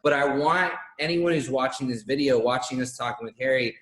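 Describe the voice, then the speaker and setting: Excited man in his twenties, roomy sound. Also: mid-range voice, brisk. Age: 20s. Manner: energetic, hyping up the guest. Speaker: co-host interviewer. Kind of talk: enthusiastic online interview. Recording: roomy echo.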